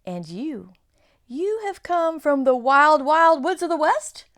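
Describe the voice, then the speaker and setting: A woman's voice: high voice, sing-song, slow, pitched above the performer's own voice, exaggerated voice. A woman voicing a polite, nervous male faun who speaks in courteous, old-fashioned phrases. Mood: welcoming.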